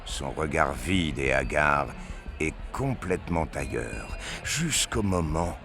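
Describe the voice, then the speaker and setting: A man's voice: rumbling low, animated, slow pace, over music. A man narrating a sci-fi audio drama in a scripted, dramatic storytelling style.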